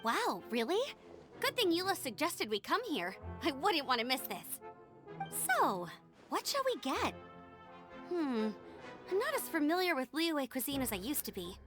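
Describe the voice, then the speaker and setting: Chirpy young game heroine, high voice, animated. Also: female voice, a little slow, over music. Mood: cheerful. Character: cheerful young woman scout, friendly and eager. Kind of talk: video game dialogue.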